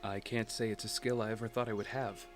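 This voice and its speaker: An actor playing a loving, proud father in an audio drama, speaking gently and reassuringly with affection. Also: on the low side, level tone, fairly quick, music in the background, lightly acted. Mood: playful, affectionate.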